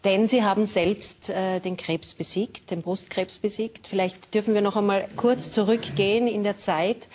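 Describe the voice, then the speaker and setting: A woman's voice: mid-range voice, fairly even intonation, normal speed, few pauses, a little mellow, a little hiss or hum. A woman hosting a daytime TV talk show, asking polite questions with some hesitant fillers. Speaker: TV host. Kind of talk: TV interview. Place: TV studio.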